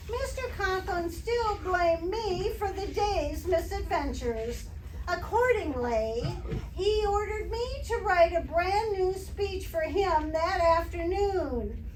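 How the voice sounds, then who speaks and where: A distant, echoey stage recording carries a woman acting a put-upon schoolteacher from a radio comedy. She speaks very high and deliberately, with dry sarcasm. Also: slight background noise, heavily acted. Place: live stage performance.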